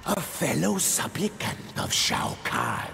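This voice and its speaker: A man's voice: a little high, huge pitch swings, takes it slowly, vocal fry, heavy background noise, exaggerated voice. A man playing a sly, servile demon lackey in a fighting game. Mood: sly, sneering. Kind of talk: video game fight banter.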